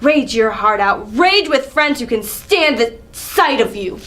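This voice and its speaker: A woman performs a young prince of Greek tragedy, defiant and principled. The voice is fairly high and the delivery cutting, in the style of a dramatic reading. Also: animated, unhurried, a bit bright, a little hiss or hum. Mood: outraged.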